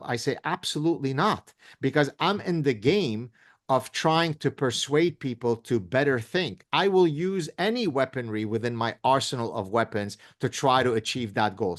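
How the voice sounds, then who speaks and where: Emphatic, sarcastic professor man. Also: mid-range voice. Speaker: professor guest. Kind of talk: interview conversation.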